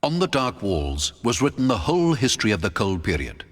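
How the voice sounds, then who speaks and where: A man narrating a scripted first-person adventure audiobook in a dramatic, measured storytelling manner. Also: lowish voice, sing-song. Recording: long hall-like reverb.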